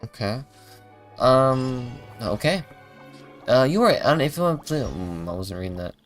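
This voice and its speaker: A game streamer giving casual livestream commentary in a creaky voice, with hesitant, meandering asides. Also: medium pitch, very animated, a little slow. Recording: game music behind the voice.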